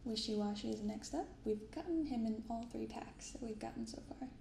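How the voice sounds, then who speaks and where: Female ASMR host, gentle and casual, gravelly fry, roomy binaural recording with audible noise. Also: on the high side. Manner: soft, gentle, unhurried delivery. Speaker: host of a card-opening ASMR channel. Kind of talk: soft-spoken ASMR, casual commentary. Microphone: binaural microphone. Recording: roomy echo, clearly audible background noise.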